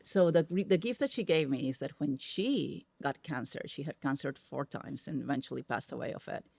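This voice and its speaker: A middle-aged woman from Peru with a Spanish accent, a guest telling stories in an emphatic, animated way. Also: extremely low pitch, brisk, vocal fry, breathy edge, no echo. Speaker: podcast guest, tech executive. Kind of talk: casual interview conversation.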